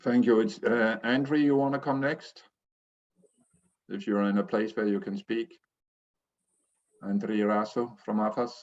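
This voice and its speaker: A man's voice: lowish voice, steady tone, measured pace, long pauses, a little mellow, muffled recording. Polite man moderating a call. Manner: polite, brief prompts. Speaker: meeting moderator. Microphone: video call.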